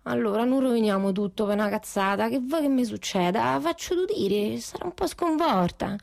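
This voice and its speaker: A woman's voice: a little high, expressive, a little fast, creaky, a little mellow. A woman acting an agitated young psychiatric patient in a radio drama, with a Roman accent and coarse, slangy, complaining talk. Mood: pleading, irritated.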